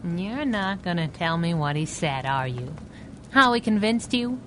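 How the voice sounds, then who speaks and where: A woman's voice: on the low side, lively intonation, a little slow, fairly bright tone, slight background noise. A woman playing a sassy chauffeur sidekick, wry and teasing, in a pulp radio drama. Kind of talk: 1930s pulp radio acting.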